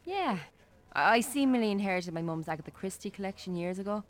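An actress with an Irish accent playing a friendly, composed, bookish shop worker in a naturalistic radio drama. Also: female voice, mid-range voice, lively intonation, quick pace, lightly acted.

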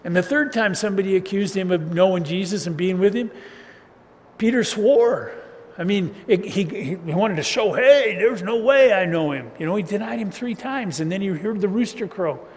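A man preaching a casual sermon as a pastor, with a loud voice and a loose, chatty style full of chuckles and restarts. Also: sits high, lively intonation, normal speed. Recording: reverberant hall, faint ambient noise.

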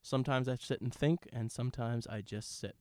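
A man's voice: mid-range voice, fairly quick, smooth, no room sound. A man co-hosting a podcast in casual conversation, playful and teasing in manner. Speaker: podcast co-host. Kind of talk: casual chat.

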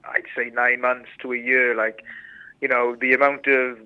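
A young man with a Welsh accent talks earnestly, stumbling over restarts and fillers. His voice comes through a thin, phone-like line that cuts away the lows and highs. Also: flat delivery, normal speed, clean tone, dry recording. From Wales. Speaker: interview guest. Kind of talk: casual interview over a call. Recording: tinny phone-line sound.

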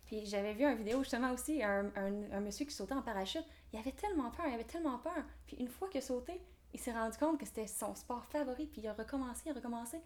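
A woman's voice: a little high, darkish tone. A woman in her twenties with a Québécois French accent, a guest speaking enthusiastically and motivationally in an interview. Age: 20s. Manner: enthusiastic, motivational, fast talking. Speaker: guest, real estate developer. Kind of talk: podcast interview.

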